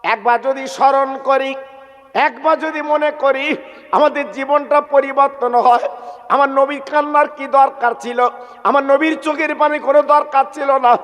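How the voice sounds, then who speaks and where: Male Islamic preacher, very high pitch, unhurried, drawn-out emotional storytelling with chanted phrases, heavy reverb. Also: fairly even intonation, a bit bright. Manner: plaintive, drawn-out exhortation with repeated calls to listeners. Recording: long reverberant echo on the voice.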